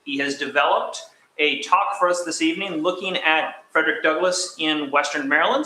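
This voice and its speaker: Bright-voiced man hosting a club meeting. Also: fairly high pitch. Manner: relaxed, chatty, addresses the crowd as gang. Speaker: roundtable president and host. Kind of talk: casual club meeting announcements. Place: meeting room with live audience. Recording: echoey room.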